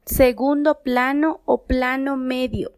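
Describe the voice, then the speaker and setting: Female presenter for an audio demonstration. Unhurried, deliberate speech in a close, dry recording. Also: high voice, fairly even intonation, no echo.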